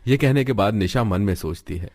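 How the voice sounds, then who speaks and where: Low-voiced male story narrator. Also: animated, fairly quick, a little mellow. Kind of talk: scripted cartoon story narration.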